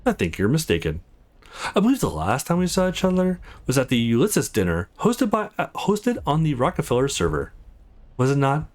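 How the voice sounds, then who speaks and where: A man voicing a cold, condescending wealthy businessman in a visual novel, measured and mocking. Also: mid-range voice, huge pitch swings, a little mellow. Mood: smug, mocking.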